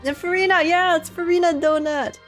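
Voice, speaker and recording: A woman's voice: very high-pitched, fairly even intonation, brisk, faint music behind. A young woman chatting casually as she livestreams a game, with a giggly, slangy manner. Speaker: live streamer.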